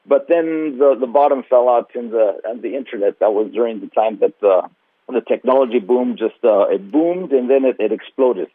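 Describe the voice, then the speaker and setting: A man, a museum's marketing director, answering radio interview questions in a halting way with many fillers and restarts. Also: mid-range voice, slightly rough, no echo. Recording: tinny phone line.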